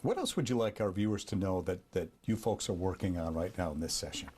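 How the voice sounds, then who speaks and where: A man's voice: low-pitched, fast, darkish tone, slightly rough. A man interviewing a guest for TV news, asking direct questions in a conversational way.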